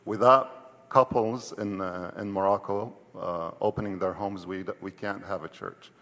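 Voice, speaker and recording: Male missionary guest, fairly deep, halting explainer, reverberant hall sound. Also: steady tone, fairly bright tone. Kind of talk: explanatory interview answer. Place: indoor church hall.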